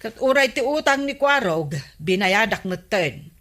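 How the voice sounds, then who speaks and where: A woman's voice: fairly high pitch, expressive, measured pace, a bit bright. A woman acting a practical, chiding village wife in a comedy radio drama. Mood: matter-of-fact.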